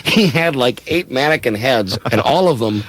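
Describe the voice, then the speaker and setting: A man hosting a radio show with casual, bossy, mock-gruff comic banter. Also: mid-range voice, expressive, normal speed, fairly bright tone, dry recording, rough recording. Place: radio studio. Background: music.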